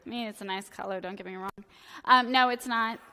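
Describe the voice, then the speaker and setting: A woman in her thirties, a priest, giving a casual, joking sermon with a hoarse, fading voice, chatty and self-deprecating with many filler words. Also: medium pitch, fairly even intonation, a little fast, bright, forceful tone. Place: church sanctuary. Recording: long church reverb.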